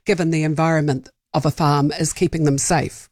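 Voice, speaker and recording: A man's voice: fairly high pitch, little pitch movement, fairly quick, no room sound. A talk radio host with a New Zealand accent, questioning a caller in an encouraging, affirming way. His voice is dry and close. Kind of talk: radio interview.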